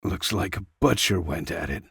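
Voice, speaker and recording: A man voicing a weary, gruff, stubborn male soldier in an audiobook story. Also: low-pitched, animated, moderate pace, a bit bright, dry recording, studio quality, heavily acted. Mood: dry, weary.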